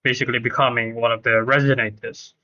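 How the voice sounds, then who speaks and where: A man's voice: medium pitch, little pitch movement, quick pace, bright, forceful tone, dull audio. A man streaming a game with casual live commentary, explaining his points emphatically and repeating himself.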